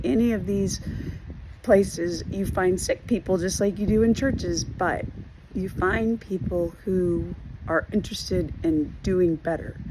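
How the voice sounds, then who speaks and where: Hoarse woman in an earnest vlog. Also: lowish voice, noisy background. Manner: earnest, halting, with many fillers and restarts. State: hoarse from losing her voice. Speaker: trauma recovery vlogger. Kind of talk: personal video update.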